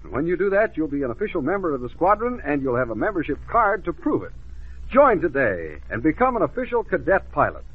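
A man's voice: on the high side, big pitch swings, quick pace, husky. An American man announcing on a 1950s children's radio show, reading scripted copy as the co-host. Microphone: radio studio microphone. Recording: muffled vintage 1950s radio recording.

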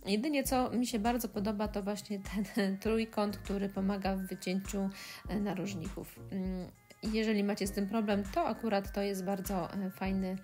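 A woman talking through a step-by-step scrapbooking tutorial, pausing often with filler sounds. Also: mid-range voice, flat delivery, dark tone, faint music behind. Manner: hesitant, many filler sounds. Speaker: crafting tutorial host. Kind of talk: step-by-step craft tutorial voice-over.

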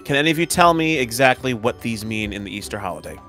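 A man playing a patient robot professor who explains things haltingly to a class in a Minecraft roleplay. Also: medium pitch, lively intonation, bright, forceful tone, soft background music. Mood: explanatory.

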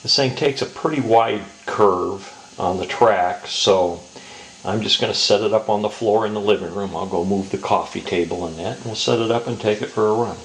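An American man giving a casual hands-on hobby demonstration in an unhurried, halting, explanatory way, recorded indoors on a home camera. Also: lowish voice. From Southern California, US. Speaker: model train hobbyist presenter. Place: indoors in a home dining room. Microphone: handheld camera's built-in mic. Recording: noisy home camcorder audio.

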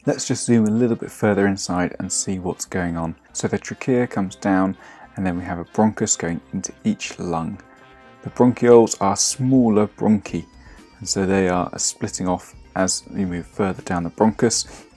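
A young man explaining biology in a patient, clear teaching manner, recorded in a home kitchen on a camera across the table. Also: low voice, normal speed, warm tone, reverberant, faint music behind. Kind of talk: educational explainer.

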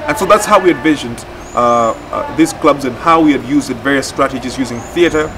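A man's voice: mid-range voice, fairly quick, background noise. A man explaining methodically, as a project facilitator in a documentary interview. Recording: distant mic under background music. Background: music.

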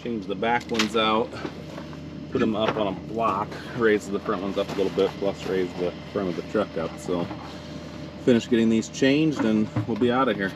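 A man in his thirties narrating a casual work vlog about hauling campers, relaxed and unhurried with lots of fillers. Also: fairly low pitch. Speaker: towing business owner. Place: truck cab and outdoor work yard. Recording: noisy with vehicle and engine hum.

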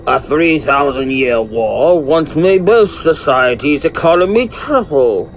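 A man playing a haughty, scheming alien leader in an audio drama, formal and pompous with theatrical, drawn-out phrasing. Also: a little high, expressive, slight background noise. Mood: sly, interested.

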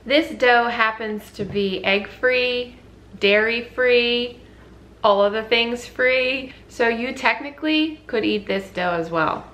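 High-voiced woman, chatty. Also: takes it slowly, a bit bright. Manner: chatty, informal, addressing viewers as guys. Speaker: host of a healthy cooking channel. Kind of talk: casual recipe vlog, explaining to viewers. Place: home kitchen, indoors. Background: clear background music.

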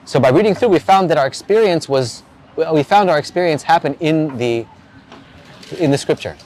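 A man's voice: on the high side, expressive, normal speed, a little mellow, a little hiss or hum. A man in his forties interviewing a stranger outdoors by the sea, asking earnest, probing questions with frequent restarts. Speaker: street evangelist interviewer. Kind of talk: street interview about faith. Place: outdoors by the ocean.

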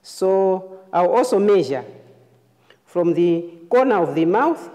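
A woman nurse giving a hands-on clinical demonstration, explaining phrase by phrase in a halting way. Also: lowish voice, expressive, dark tone. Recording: reverberant room, long echo.

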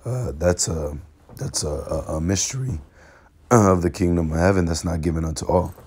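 Hoarse raspy low-voiced man. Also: darkish tone. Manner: halting, repeats words, many fillers. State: hoarse from illness. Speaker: religious teacher. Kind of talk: informal bible lesson over screen recording. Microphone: phone screen recording.

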